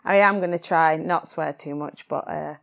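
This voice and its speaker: A woman's voice: sits low, moderate pace, gravelly, a bit bright. Chatty Yorkshire woman. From England. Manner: chatty, laughs often, swears freely. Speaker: podcast guest. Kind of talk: casual chat.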